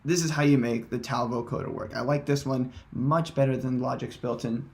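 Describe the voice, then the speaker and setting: A man presenting a casual software tutorial in hesitant, halting, unscripted phrasing. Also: medium pitch, fairly even intonation.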